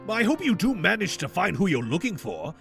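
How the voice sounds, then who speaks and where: A man voicing a gentle, timid amnesiac handyman in a fan comic dub, polite and soft-spoken. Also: sits high, very animated, fairly quick, creaky, full character voice. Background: background music.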